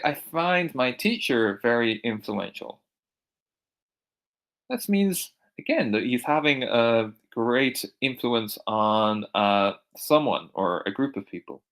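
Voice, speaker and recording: Guy from ireland who sounds american, english teacher going over vocab, says words slow n clear. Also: mid-range voice, expressive, a bit bright. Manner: repeats words slowly and clearly for learners. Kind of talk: recorded vocabulary lesson.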